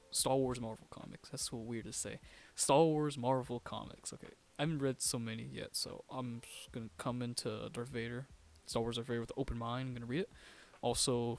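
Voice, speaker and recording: Male podcast co-host, mid pitch, rambling and chatty, stumbles and laughs often. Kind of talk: casual chat.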